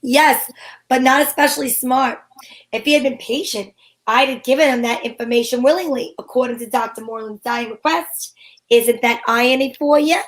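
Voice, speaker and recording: A woman's voice: high-pitched, moderate pace, lightly acted, poor audio. A woman playing a sassy, self-assured female private detective in an old-time radio drama, with wry delivery.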